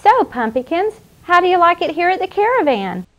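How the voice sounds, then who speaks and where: A woman playing a sweet, nurturing clown who hosts a children's show, with a gentle, encouraging manner. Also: very high voice, sing-song, normal speed, highs cut off, exaggerated voice. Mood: cheerful, welcoming. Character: sweet, nurturing young female clown hostess.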